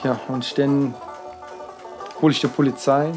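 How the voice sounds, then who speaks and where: Guy rambling about his art, stops and restarts a lot, lots of uh and um, mid pitch, faint music underneath. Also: fairly even intonation. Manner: halting, with frequent fillers and restarts. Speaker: artist. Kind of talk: rambling personal account in a radio feature.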